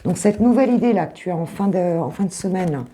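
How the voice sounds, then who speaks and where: A French woman who is a coach, reading cards to her viewers in a casual, hesitant way with many fillers and a slightly hoarse voice. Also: lowish voice, animated, fast. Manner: hesitant, many fillers, soft reassuring asides.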